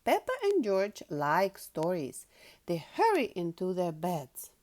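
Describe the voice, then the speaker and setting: A woman reading a storybook aloud to children in an expressive, sing-song, carefully paced manner. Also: lowish voice, slow pace.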